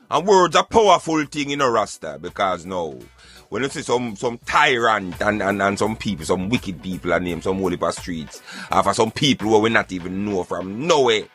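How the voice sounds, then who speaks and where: A man's voice: fairly high pitch, pushed and bright. A man with a Jamaican accent giving emphatic, preachy, rhetorical opinions in an impassioned talk style. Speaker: radio show host.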